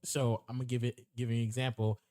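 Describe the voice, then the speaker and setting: A man's voice: medium pitch, level tone, dark tone, no echo. A young man co-hosting a podcast, laying out his examples step by step in casual discussion. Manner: measured, lays out examples step by step. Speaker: podcast co-host.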